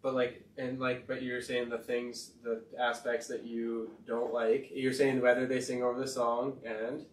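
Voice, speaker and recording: A man with a high-pitched voice, talking in a rambling, profane and emphatic way on a casual podcast. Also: little pitch movement, a little slow, few pauses, slight background noise. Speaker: podcast host and rapper. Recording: roomy sound from far off the mic.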